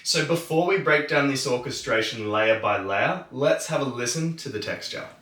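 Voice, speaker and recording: A man presenting a music tutorial, explaining methodically in a measured, instructional way. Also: medium pitch, expressive, fairly bright tone, slight background noise. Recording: roomy, distant microphone.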